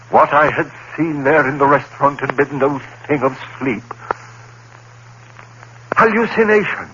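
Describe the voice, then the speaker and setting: An actor playing a frightened, reason-bound professor in a 1940s-style radio play, narrating in a dramatic, brooding way. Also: male voice, medium pitch, sharp tone, husky, heavily acted. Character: haunted male professor, rational and frightened. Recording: dull old radio broadcast with faint hiss.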